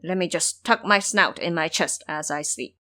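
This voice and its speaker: A woman's voice: lowish voice, moderate pace, pushed and bright. A woman voicing a lazy, self-pitying pig-man fool in an audio drama, grumbling and exclaiming indignantly. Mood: sulky, annoyed. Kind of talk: audio drama character acting.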